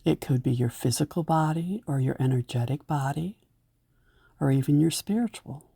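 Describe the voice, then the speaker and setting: A woman leading a guided meditation in a gentle, soothing way, giving unhurried instructions. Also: low-pitched, creaky, breathy edge, no room sound, clean studio sound.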